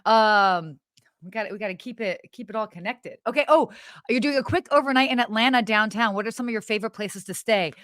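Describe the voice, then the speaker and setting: A woman co-hosting a podcast in casual live chat, with an animated, giggly, exclamatory way of talking. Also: a little high, a little fast, no room sound, studio quality. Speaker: podcast co-host.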